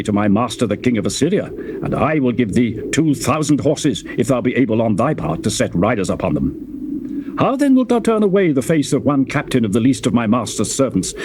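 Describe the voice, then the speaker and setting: A man's voice: mid-range voice, background noise, natural voice. A man playing a boastful, menacing Assyrian envoy in a dramatized scripture recording, speaking in taunting, scornful oratory.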